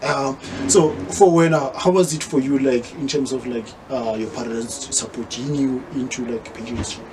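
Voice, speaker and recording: A man's voice: mid-range voice, moderate pace. South African male podcast host, noisy room. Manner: relaxed, chimes in a lot, mixes English with Zulu. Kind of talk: casual interview chat.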